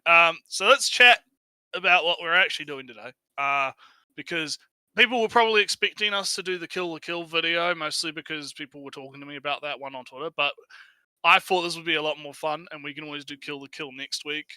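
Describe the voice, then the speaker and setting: A man's voice: fairly high pitch, brisk, bright, forceful tone, smooth, no echo, low-quality recording. A man co-hosting a livestream with casual banter, rambling and often repeating his phrases. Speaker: livestream co-host.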